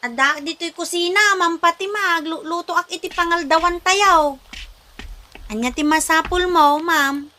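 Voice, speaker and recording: Casting: actress as outspoken female housemaid, Filipino accent, bright high voice, polite but argumentative, comedy drama. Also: unhurried, a little hiss or hum, heavily acted. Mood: compliant. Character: cheeky, outspoken housemaid, polite yet talking back.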